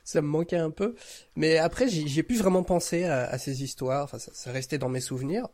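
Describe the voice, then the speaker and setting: A man's voice: fairly high pitch, fairly quick, darkish tone, no room sound. A man in his thirties from France, chatting informally as a podcast guest and telling his story with hesitations. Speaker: podcast guest, audio fiction creator. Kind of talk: casual chat.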